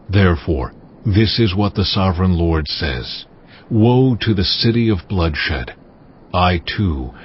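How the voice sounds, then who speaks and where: Very deep, slow, wrathful God. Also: male voice, a little hiss or hum. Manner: grave, measured, commanding. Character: God, stern wrathful sovereign Lord pronouncing judgement. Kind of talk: dramatized audio Bible, solemn scripture oration. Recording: dull, muffled audio with music. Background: cinematic music.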